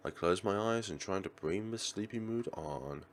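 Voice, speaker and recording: A man hosting a let's play, reading visual novel narration aloud in a theatrical, expressive way. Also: sits low, normal speed, slight background noise.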